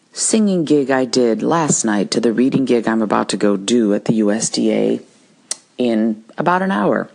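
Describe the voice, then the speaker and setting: Husky-voiced woman hosting a podcast. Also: a bass voice, a little mellow. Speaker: podcast host. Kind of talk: solo podcast talk with practical advice.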